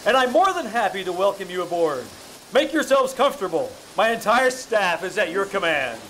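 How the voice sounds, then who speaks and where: A man acts out a gracious young prince in a radio fairy tale, on a hissy stage recording. He declaims heartily and courteously, high for a man and very animated. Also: normal speed, poor audio. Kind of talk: old-time radio drama acting.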